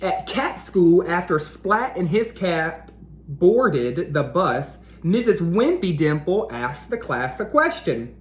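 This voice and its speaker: A woman reading a picture book aloud to children as a teacher, in a deliberate, engaging storytelling style. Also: fairly low pitch, sing-song, reverberant. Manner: deliberate, engaging, asks listeners questions. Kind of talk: animated read-aloud storytelling.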